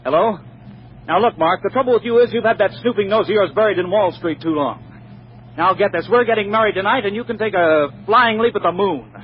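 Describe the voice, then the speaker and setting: Muffled vintage radio. Actor as boyish, defiant American rich playboy, fairly high voice, breezy and emphatic. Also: male voice, a little fast, close to an everyday voice. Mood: defiant, exasperated. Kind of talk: 1940s radio comedy acting. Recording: muffled, noisy 1940s radio recording.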